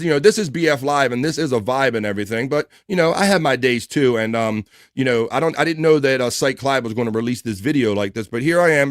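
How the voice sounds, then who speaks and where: A man's voice: a little high, no room sound, studio quality. A man hosting a live-stream talk show, talking casually and loosely, joking, laughing often and swearing now and then.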